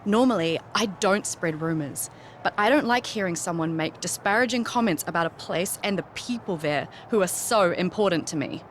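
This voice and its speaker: A woman's voice: fairly low pitch, expressive, normal speed, slight background noise, close to an everyday voice. A woman playing an indignant, accusing wrestler in a game interview, emphatic and self-righteous. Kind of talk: video game interview scene.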